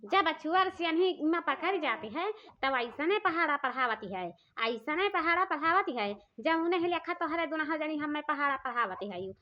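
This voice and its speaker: A woman's voice: high-pitched, expressive, normal speed, few pauses. A woman playing an angry, scolding village farmer in a comic cartoon, speaking with an eastern Uttar Pradesh accent and repeating herself. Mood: indignant. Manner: scolding, repetitive, confrontational. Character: angry village woman farmer accusing thieves of stealing peanuts. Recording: dull lo-fi audio.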